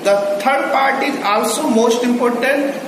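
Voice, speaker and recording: High-voiced teacher in an echoey classroom. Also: male voice. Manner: slow, repeats key figures and phrases. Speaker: school teacher. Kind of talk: classroom lecture. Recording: echoey hall, distant mic, background noise.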